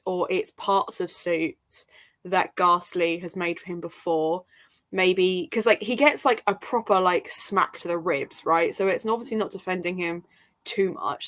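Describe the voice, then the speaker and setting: A woman chatting casually and emphatically about books in an informal, animated way, often checking in with the listener. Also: on the low side. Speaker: book readalong panellist.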